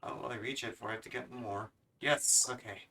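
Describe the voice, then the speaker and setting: A man's voice: medium pitch, fairly even intonation, a little fast, sharp tone, distant mic, slight background noise. A man livestreaming a video game, chatting casually to his viewers and grumbling with mild swearing as he plays. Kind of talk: casual gameplay commentary to chat. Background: video game music.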